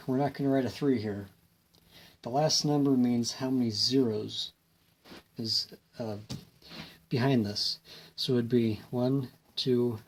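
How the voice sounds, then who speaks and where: A man hosting a hands-on repair tutorial in an informal, folksy way, explaining step by step and thinking aloud. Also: medium pitch. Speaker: host of a hobby electronics repair series. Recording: clear background noise, homemade video.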